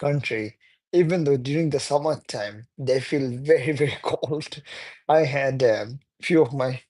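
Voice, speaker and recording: Chatty man with a higher voice. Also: dark tone. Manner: chatty, laughs often, non-native phrasing. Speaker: livestreamer. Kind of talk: casual live-stream chat reading viewer comments.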